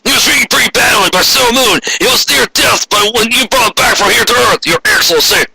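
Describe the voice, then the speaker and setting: A man's voice: very high voice, fairly quick, creaky, sharp tone, slight background noise, pitched above the performer's own voice, heavily acted. A man voicing an obsequious villain's henchman reporting to an evil ruler in an anime parody. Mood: servile.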